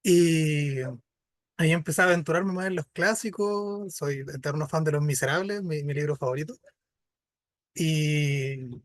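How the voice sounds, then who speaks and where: A man with a Chilean accent, a guest author introducing himself in a relaxed, self-deprecating way. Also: high-pitched, no room sound.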